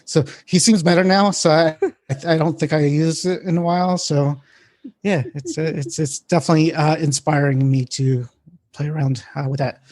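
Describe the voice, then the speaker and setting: A man co-hosting a podcast in casual tech chat, telling stories in a colloquial, halting way. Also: fairly high pitch, steady tone, darkish tone. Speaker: podcast co-host.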